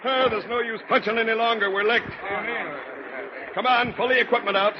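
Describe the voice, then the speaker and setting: Barking, high-pitched army major. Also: male voice, fairly even intonation, brisk, a loud crowd. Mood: resigned, commanding. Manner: barking, commanding. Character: harried, gruff army major fighting a river flood. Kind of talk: 1940s radio drama acting. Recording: noisy lo-fi 1940s radio recording.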